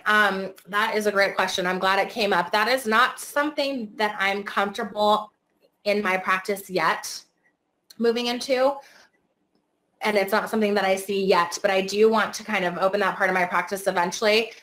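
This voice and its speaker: A woman in her thirties with a slightly hoarse voice that is starting to give out. She talks in a casual, chatty way with plenty of fillers. She is heard through a webcam from an indoor room. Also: mid-range voice, fairly even intonation, moderate pace, fairly bright tone, low-quality recording. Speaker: herbalist and esthetician guest. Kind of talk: webinar presentation.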